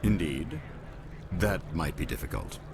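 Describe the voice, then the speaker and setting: An actor playing an urbane old male alien in a game, with a courtly, wry, measured manner. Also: deep voice, expressive, vocal fry, background noise, heavily acted. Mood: wry, courteous.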